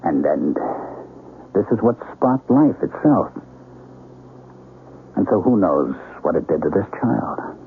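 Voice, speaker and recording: An actor playing an anxious young father in a radio drama, earnest, hesitant and halting. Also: deep voice, very animated, exaggerated voice. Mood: troubled, brooding. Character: anxious, hesitant husband and new father, former engineer. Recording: tinny, hissy old radio broadcast.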